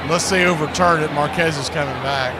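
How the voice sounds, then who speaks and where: High-voiced male baseball announcer over loud noise. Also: fairly quick. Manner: folksy, shifts from conversational asides to excited calls. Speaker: baseball play-by-play announcer and stream host. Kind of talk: live radio-style sports commentary with casual chat. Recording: loud steady background noise.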